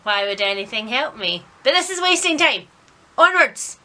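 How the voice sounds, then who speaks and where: A woman vlogging at home in a casual, chatty, expressive and self-deprecating way about her reading. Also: high voice, moderate pace. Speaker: vlog host. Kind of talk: casual vlog chat about a reading marathon. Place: indoors at home.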